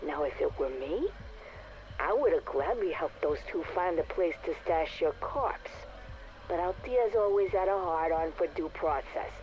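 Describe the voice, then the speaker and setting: An actress playing a tough, sardonic facility worker with a blunt, sarcastic, slangy way of talking in a game audio log. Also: female voice, deep voice, lively intonation, measured pace, a little mellow, faint music behind, low-quality recording. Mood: angry, threatening.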